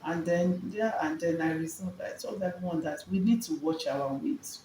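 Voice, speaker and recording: A woman in her fifties with a Nigerian accent, giving conversational, somewhat halting answers about herself as an interview guest. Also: on the low side, warm tone. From Lagos, Nigeria. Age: 50s. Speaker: wellness coach and business owner, interview guest. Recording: echoey room with background noise.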